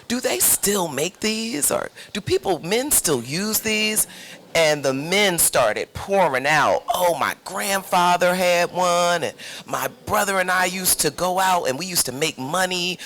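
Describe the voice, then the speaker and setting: A woman's voice: lowish voice, a bit bright, a little hiss or hum. A middle-aged woman being interviewed as a playwright, telling her story conversationally.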